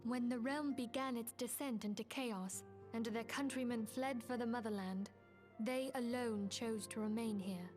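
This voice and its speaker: A woman's voice: a little high, a little mellow. An actress playing a gracious, earnest lady leader in a fantasy video game, speaking formally as she explains lore. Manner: formal, expository storytelling.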